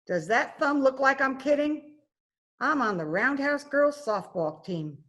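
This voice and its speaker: A woman's voice: high voice, expressive, unhurried, a bit bright. An actress playing a brash, joking, aging cleaning woman in an old-time radio detective drama. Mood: boastful. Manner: brassy, joking banter. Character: brash, wisecracking aging scrubwoman, tough and sporty. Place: at home, recorded remotely.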